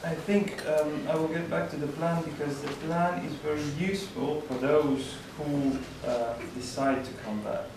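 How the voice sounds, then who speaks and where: Man, panelist, halting answers, heavy room echo, clear background noise. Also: fairly high pitch, steady tone, far from the mic. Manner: hesitant, halting. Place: indoor panel discussion room.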